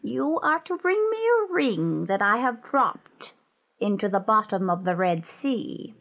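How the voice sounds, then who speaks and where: Woman playing an imperious old witch queen, slow and swooping. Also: a little high, very animated, a bit bright, muffled recording, full character voice. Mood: commanding. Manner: slow, measured, menacing pronouncements.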